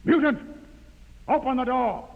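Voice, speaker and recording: A man's voice: extremely high pitch, measured pace, creaky, heavily acted. A man acting an arrogant, hard military lieutenant in a 1950s radio drama, commanding and peremptory. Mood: shouting challenge. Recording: very noisy, muffled, reverberant old radio recording.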